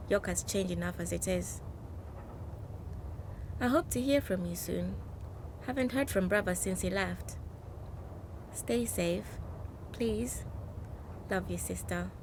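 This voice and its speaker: A woman playing an affectionate 1940s factory worker reading out a letter to her sister in a radio play. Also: vocal fry. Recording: noisy, rumbling background ambience.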